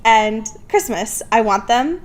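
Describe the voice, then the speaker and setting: A woman's voice: a little high, expressive, slow pace. A young woman co-hosting a casual, comedic fan podcast in a playful, informal, giggly way, swearing freely. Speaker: podcast co-host.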